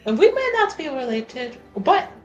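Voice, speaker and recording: A woman's voice: high-pitched, sing-song, moderate pace, darkish tone, muffled recording. A woman playing a tabletop roleplaying game, chatting casually and often breaking off to rephrase. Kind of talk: casual in-character and out-of-character game chat. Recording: background music.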